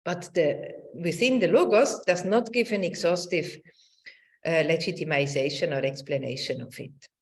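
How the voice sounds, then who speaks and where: A middle-aged woman giving a semi-improvised academic talk over a video call, haltingly searching for words and checking with a questioning tag. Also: on the low side. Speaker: academic lecturer. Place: indoor room, online presentation.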